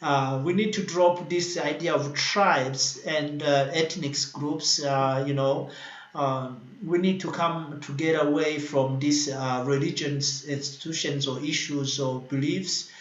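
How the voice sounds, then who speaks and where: A man's voice: a little high, steady tone, a little slow, reverberant, highs cut off. Hesitant man hosting an online panel. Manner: hesitant, many fillers and restarts. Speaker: panel discussion host. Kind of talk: closing remarks in an online panel. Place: home in Addis Ababa, at night.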